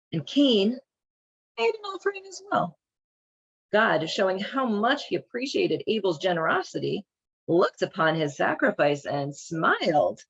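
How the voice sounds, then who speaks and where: A woman's voice: medium pitch, vocal fry, husky, highs cut off. A woman hosting a casual read-along and commentary, speaking in an informal, wry, irreverent way. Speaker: host reading and commenting on a children's Bible.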